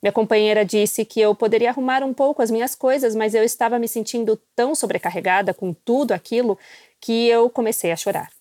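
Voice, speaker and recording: A woman's voice: fairly high pitch, fairly even intonation, brisk, a little mellow, dry recording. A woman who is a missionary guest, recounting her experiences in a candid, reflective way. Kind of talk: personal storytelling.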